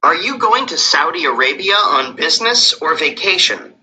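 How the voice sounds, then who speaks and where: A man's voice: a little high, sing-song, normal speed, pushed and bright, slight background noise, heavily acted, low-quality recording. A man voicing a polite, eager young exchange student in a scripted textbook dialogue.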